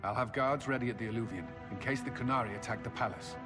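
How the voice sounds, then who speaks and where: A man's voice: medium pitch, fast, music in the background, lightly acted. A man playing a dutiful, weary military commander in a video game, speaking earnestly and firmly. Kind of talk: video game character acting. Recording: long hall reverb.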